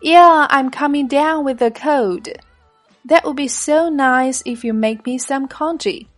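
A woman's voice: sits high, a little slow. Woman reading dialogue speaker B. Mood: plain. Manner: plain, careful read-aloud of lesson lines. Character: everyday adult speaker B in a practice dialogue. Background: faint background music.

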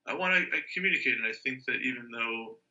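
A man's voice: mid-range voice, lively intonation, quick pace, pushed and bright, dull audio. A man chatting casually as a guest, thinking aloud and rambling with restarts. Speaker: language learner guest. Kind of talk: casual conversation.

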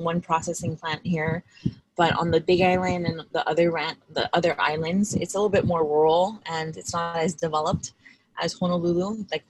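Guest spot: young woman from Hawaii, deep voice, rambling and loose, faint hiss behind. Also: low-quality recording. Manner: rambling, many fillers and restarts. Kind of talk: casual interview conversation.

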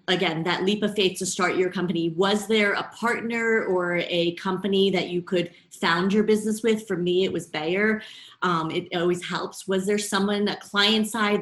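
Podcast host woman, echoey room. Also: mid-range voice. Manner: warmly affirming, laughs often. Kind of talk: interview hosting.

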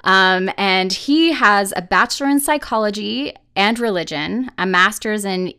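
Smooth-voiced upbeat podcast hostess. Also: female voice, medium pitch, measured pace, sharp tone. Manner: eager, upbeat. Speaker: podcast host. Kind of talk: interview hosting.

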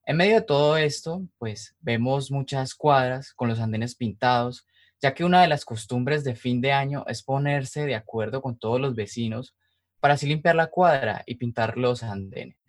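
A male podcast host with a Colombian accent from Cali. His voice sits at a middle pitch, and he speaks in an informal narrating style. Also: normal speed.

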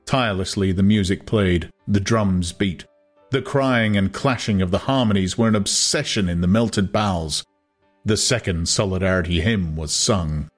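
A man narrating an audiobook in a measured, dramatic style. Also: low-pitched, faint music behind.

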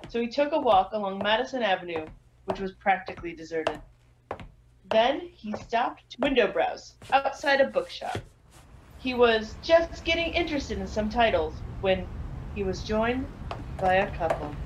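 A woman playing a mysterious librarian who hosts crime stories, narrating in a theatrical old radio style with suspenseful pauses. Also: mid-range voice, animated, close to an everyday voice. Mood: suspenseful storytelling. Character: mysterious female librarian host of a crime story club. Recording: muffled, noisy recording with clicks.

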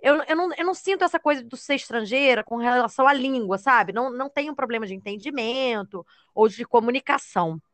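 A woman's voice: fairly high pitch, expressive, quick pace, few pauses, fairly bright tone, no echo. A young adult Brazilian woman chatting casually in a sociable, conversational manner. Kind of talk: casual chat.

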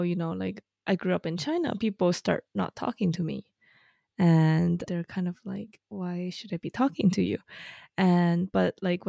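Young female interviewer, heavy vocal fry, mellow and dry. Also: medium pitch, dry recording. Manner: warm-hearted, chatty, frequent "like". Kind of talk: casual interview conversation.

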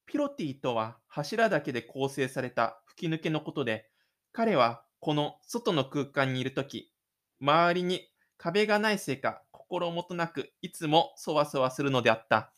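Male narrator for an audio drama, scripted storytelling, pitch a touch high. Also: expressive, measured pace, fairly bright tone.

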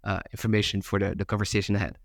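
A man's voice: fairly low pitch, level tone, racing, gravelly, no echo, clean studio sound. A man hosting a podcast, reading an introduction and then asking loose, rambling questions. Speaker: podcast host.

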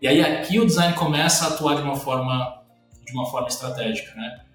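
A man with a Brazilian Portuguese accent, a podcast guest explaining in a relaxed, slangy way with many fillers and restarts. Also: mid-range voice, fast. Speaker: podcast guest, company CEO. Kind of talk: casual explanatory chat. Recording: very echoey room, faint music.

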